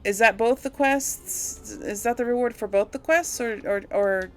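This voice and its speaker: A woman's voice: fairly high pitch, unhurried, soft background music. A woman giving casual, rambling game commentary, absent-minded and thinking aloud as she plays. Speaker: gamer hosting a role-play playthrough series.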